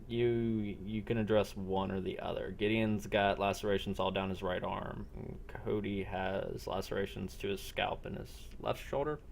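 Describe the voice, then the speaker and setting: A man with a low, gravelly voice acts as game master of a role-play. He describes scenes in halting phrases with frequent pauses, over a faintly noisy voice-chat line. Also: little pitch movement. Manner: halting, pausing between phrases. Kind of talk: improvised narration of a tabletop-style role-play.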